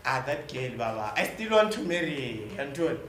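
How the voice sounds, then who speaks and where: Stage drama: male actor as young Zimbabwean minibus hustler, emphatic, weary yet desperate, forceful voice, roomy echo. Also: mid-range voice, big pitch swings, a little fast. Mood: wistful. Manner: emphatic, repetitive, punctuated with slang. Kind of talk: stage play acting. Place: indoor theatre stage. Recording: echoey stage hall, faint noise.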